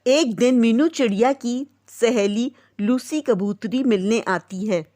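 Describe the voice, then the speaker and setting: A woman's voice: on the high side, slow, dark tone. A woman narrating a scripted children's cartoon story.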